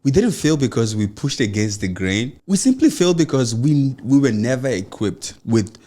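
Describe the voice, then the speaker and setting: A man's voice: medium pitch, big pitch swings, normal speed, mellow. A young adult man with a Nigerian accent and a mild stammer, hosting a solo motivational podcast in an earnest, encouraging way. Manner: earnest, encouraging, loose run-on phrasing with many "you know"s. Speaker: podcast host.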